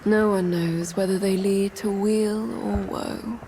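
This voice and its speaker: A woman playing a wise, mysterious figure who speaks in hushed, measured tones in a game cutscene. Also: medium pitch, steady tone, slight background noise. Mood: solemn.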